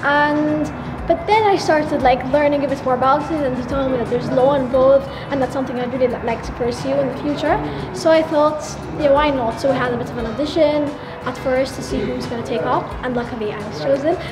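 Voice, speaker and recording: High-voiced teen girl from Malta. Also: brisk, roomy sound, background noise. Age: teens. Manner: hesitant, with restarts and "like". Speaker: student participant. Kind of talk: casual interview answer.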